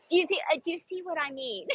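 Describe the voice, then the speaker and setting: A woman's voice: very high-pitched, fast talker, fairly bright tone, dry recording. A middle-aged woman with a South African accent, a stylist and designer chatting casually and upbeat with viewers on a live shopping stream. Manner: chatty, upbeat, frequent laughs and restarts.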